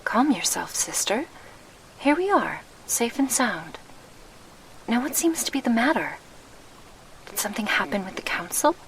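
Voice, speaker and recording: A woman playing a gentle, wistful succubus guardian in a soft ASMR roleplay, speaking in formal, measured phrases with a breathy murmur, close to the mic. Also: fairly high pitch, very animated, a crowd in the background, rough recording. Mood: calm, reassuring. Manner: formal, measured, old-fashioned diction. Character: gentle, wistful female succubus guardian, grieving her lost past. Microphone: close binaural microphone.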